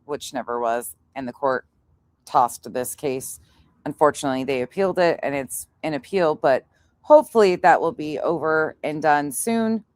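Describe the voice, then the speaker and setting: A woman with a low voice, recorded close and dry, hosting a casual livestream. She talks bluntly to her viewers and swears freely, with an openly scornful edge. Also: normal speed, a little mellow, no room sound. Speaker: livestream host commenting on played clips. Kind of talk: casual livestream commentary, chatting with live viewers.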